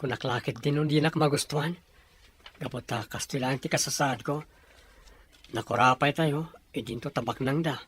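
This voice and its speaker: A man's voice: medium pitch, normal speed, fairly bright tone, breathy edge. A man playing a humble, bashful young suitor from a poor family in an audio drama, earnest and respectful. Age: young adult. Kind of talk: scripted audio drama dialogue.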